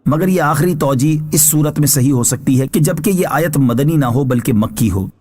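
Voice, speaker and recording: A man giving a scripted religious narration as a scholar, explaining scripture in a measured, formal way. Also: on the high side, mellow. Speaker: religious scholar reading translation and commentary.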